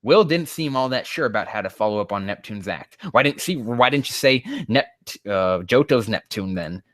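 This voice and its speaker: A man with a fairly low voice reads fan fiction aloud and reacts to it sarcastically. He is mocking and sweary, prone to exasperation, and the sound is dry and close. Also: lively intonation, moderate pace, a bit bright, no room sound. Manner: profane, mocking, exasperated outbursts. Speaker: host.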